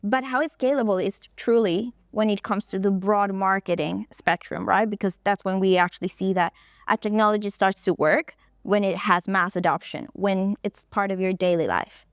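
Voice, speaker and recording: Woman presenting, smooth clear voice. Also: mid-range voice, fairly even intonation, normal speed, dry recording, clean studio sound. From Colombia. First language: Spanish. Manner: explanatory, walking through points in order. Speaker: conference presenter. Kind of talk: stage presentation.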